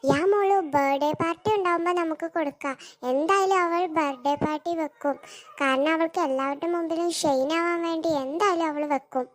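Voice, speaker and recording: Performer putting on a cartoon mother, very high pitch, haughty, jealous, competitive. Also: brisk. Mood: matter-of-fact. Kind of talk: scripted cartoon dialogue.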